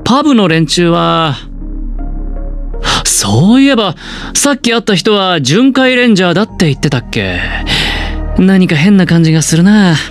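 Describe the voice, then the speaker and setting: High, smooth, sly young gambler. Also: male voice, sing-song, moderate pace. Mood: cool scheming. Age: young adult. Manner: smooth, teasing, theatrical. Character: suave young male gambler, playful, sly and scheming. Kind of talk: Japanese game dialogue voice acting. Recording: music behind the voice.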